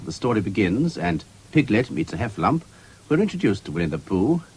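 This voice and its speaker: A man's voice: on the low side, slight background noise, rough recording. A man giving formal, carefully phrased continuity announcements on a 1970s radio broadcast recording.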